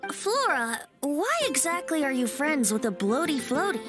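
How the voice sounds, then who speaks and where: A woman's voice: sits high, big pitch swings, normal speed, over music. A woman voicing a playful, boyish young male bard in a video game, breezy and teasing with theatrical flourishes. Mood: gleeful, teasing.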